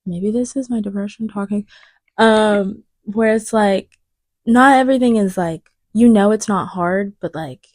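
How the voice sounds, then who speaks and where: A woman's voice: medium pitch, unhurried, a little mellow, dry recording. A young woman co-hosting a podcast in casual chat, searching for words and trailing off, with a lingering cough. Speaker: podcast co-host.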